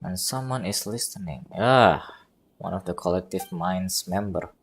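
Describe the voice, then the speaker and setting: A woman giving casual live gameplay commentary, halting and repeating words as she thinks aloud. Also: extremely low pitch, a little hiss or hum. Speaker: game streamer.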